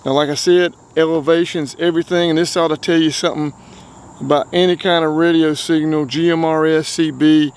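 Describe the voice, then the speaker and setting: A man with a North Carolina accent hosting a casual outdoor radio test, talking in a relaxed, chatty way and explaining as he goes. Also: on the high side, fairly quick, clean tone. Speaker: outdoors channel host. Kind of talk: casual gear-test vlog. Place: outdoors by a car.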